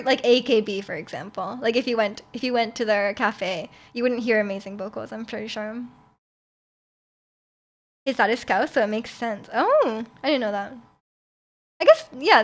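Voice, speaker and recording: Young woman, streamer, slightly high pitch, casual chat, frequent laughs. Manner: chatty, laughs often, many fillers and restarts. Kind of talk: casual chat reading viewer comments.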